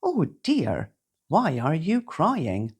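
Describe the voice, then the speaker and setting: A woman's voice: sits low, wildly swooping pitch, very slow, husky, exaggerated voice. A woman voicing a kindly, helpful owl in a children's storybook.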